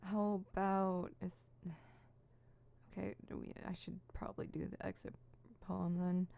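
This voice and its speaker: A woman's voice: lowish voice, level tone, a little slow, creaky, lo-fi sound. A woman playing an insecure, theatrical supervillain rehearsing monologues in an ASMR roleplay, switching between fumbling mutters and pompous recital. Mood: flustered, self-critical. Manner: fumbling self-conscious muttering broken by pompous verse recital. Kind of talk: ASMR roleplay, scripted solo audio drama.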